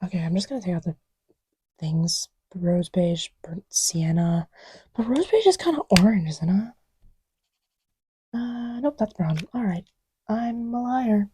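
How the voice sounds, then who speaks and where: A woman playing an affectionate, scatterbrained girlfriend in a casual roleplay audio, soft-spoken and often whispery, rambling playfully and self-deprecatingly. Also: medium pitch, lively intonation, a little slow, pauses often, husky, dry recording. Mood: absorbed, playful. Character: affectionate, scatterbrained girlfriend testing markers on her boyfriend's lap. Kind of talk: casual, improvised-sounding girlfriend roleplay audio with ASMR feel.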